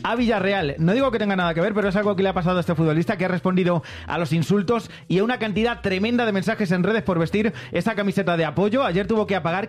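Male sports journalist, high-pitched and rapid, conversational radio commentary.

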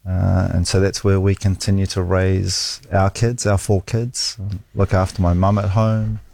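A man's voice: sits low, flat delivery, measured pace, gravelly, dark tone, no echo. A middle-aged man with a New Zealand accent, a guest telling candid, self-deprecating stories about his life in a studio interview. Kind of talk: casual interview storytelling. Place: radio studio.

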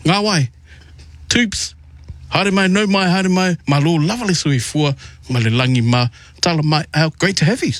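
A man's voice: a little high, animated, normal speed. A man hosting a radio show, interviewing musical guests in an effusive, rambling way.

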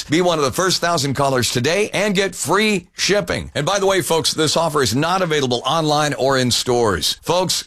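A man hosting a radio infomercial, pitching to listeners in an upbeat, salesmanlike way. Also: a bit bright. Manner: salesmanlike, addresses listeners as folks.

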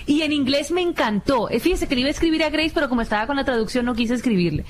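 A woman's voice: high voice, expressive, racing, a little hiss or hum. A woman chatting informally and frankly as a radio panelist, dropping bits of English into her talk. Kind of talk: casual radio chat.

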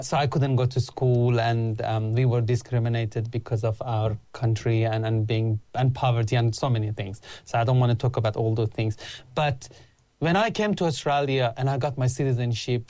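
An earnest man in his thirties, Afghan-born and raised in Iran, talks as a counsellor guest in a relaxed interview. he often restarts his sentences and leans on "like" as he goes. his voice sits at a middle pitch and sounds very dry and close to the mic. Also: normal speed, no room sound. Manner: earnest, with frequent restarts and "like" fillers. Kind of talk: casual interview chat.

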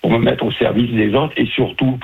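A husky-voiced man in his seventies, from southern France, speaks over a muffled phone line. He is a retired chef giving casual, chatty interview answers in colloquial language, telling stories as he goes. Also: medium pitch, fairly even intonation, normal speed. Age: 70s. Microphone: telephone.

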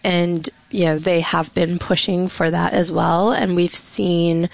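A woman's voice: lowish voice, level tone, slow pace, creaky, no echo. A woman reporter on a radio interview, explaining policy in a matter-of-fact, informed way with many "you know"s. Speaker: reporter guest.

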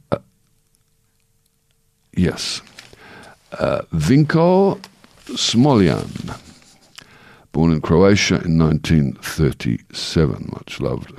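A man reading out death notices, slowly and plainly, stumbling over names and correcting himself as he goes. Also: very deep voice, creaky, dark tone, no echo, clean studio sound. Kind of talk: reading obituary notices aloud.

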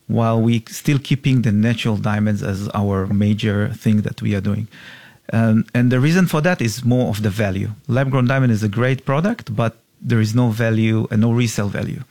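A middle-aged man from Israel answers an interviewer's questions. His delivery is halting and plain, full of small fillers, and his voice has a gravelly texture. The sound is dry and close. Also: on the low side, a little mellow, no echo. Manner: halting, simple phrasing with fillers. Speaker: diamond company co-founder.

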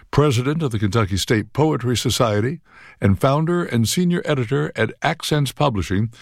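Radio guy reading the intro, a bit gravelly, dry studio sound. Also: mid-range voice, no room sound. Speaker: radio announcer. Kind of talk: scripted introduction.

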